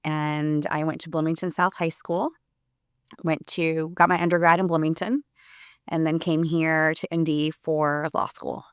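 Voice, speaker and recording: A woman in her forties from Puerto Rico, an attorney talking as a guest in an earnest, casual interview, with many pauses and restarts. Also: deep voice, level tone, clear, resonant tone, no echo, clean studio sound.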